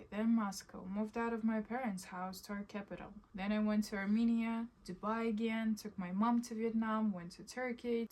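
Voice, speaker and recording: Young woman. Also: medium pitch. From Kazakhstan. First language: Russian. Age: 20s. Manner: candid, casual, fond of slang. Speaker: vlogger. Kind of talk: personal storytelling vlog.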